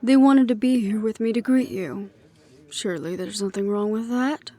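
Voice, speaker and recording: A woman playing a composed, dutiful middle-aged leader, speaking in a measured, reflective way in an audio roleplay. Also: mid-range voice, natural voice. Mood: polite, guarded. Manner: measured, reflective, quietly firm.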